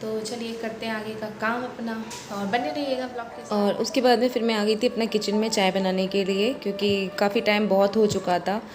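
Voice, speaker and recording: A woman with a hoarse voice, chatting through her home chores as a vlog voice-over and explaining each step. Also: mid-range voice, steady tone, brisk, few pauses, noisy background. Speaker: vlogger.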